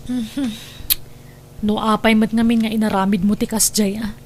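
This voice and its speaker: A woman's voice: on the high side, flat delivery, moderate pace, darkish tone, slight background noise, natural voice. A woman with an Ilocano accent acting a distraught, reproachful wronged wife in an audio drama, plaintive and emotional. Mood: hurt, reproachful.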